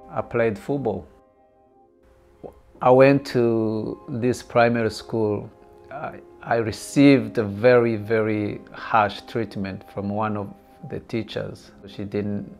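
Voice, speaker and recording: A middle-aged man from Rwanda speaks slowly in a low, flat, gravelly voice. He hesitates and repeats words as he tells his story. Soft music plays underneath. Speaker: genocide survivor interviewee. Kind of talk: documentary interview testimony. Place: indoor living room.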